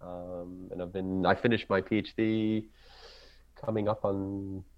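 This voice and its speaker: A man from Israel with an Israeli accent, English not his native tongue, talking casually as a guest and often pausing to search for words. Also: low-pitched, flat delivery, highs cut off. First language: Hebrew. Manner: halting, searching for words, many fillers and restarts. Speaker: ecologist guest. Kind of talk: casual interview talk.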